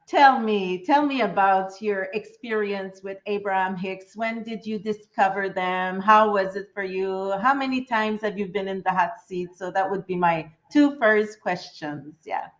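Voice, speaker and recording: A woman's voice: medium pitch, unhurried, few pauses. French canadian accented lady hosting, encouraging, muffled lo-fi audio. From Quebec and Trinidad parents. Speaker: host. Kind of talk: interview.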